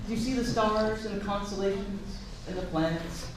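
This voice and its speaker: A man reading a picture book aloud to children in an expressive, encouraging way, pausing to chat and ask them questions. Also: high-pitched, a little hiss or hum. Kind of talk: animated read-aloud with asides to a young audience. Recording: echoey room, distant mic, audience sounds.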